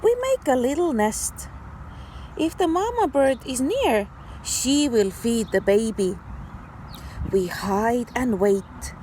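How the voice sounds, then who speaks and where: A woman teacher reading a story aloud to young children in an animated, deliberate, child-directed way. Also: a little high, slight background noise. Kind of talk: expressive children's read-aloud.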